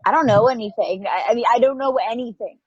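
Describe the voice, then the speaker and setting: A woman playing a newly awakened, anxious space probe in an amateur table read, halting, stammering and apologetic. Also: on the high side, breathy edge, dry recording, lo-fi sound. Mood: bewildered, anxious.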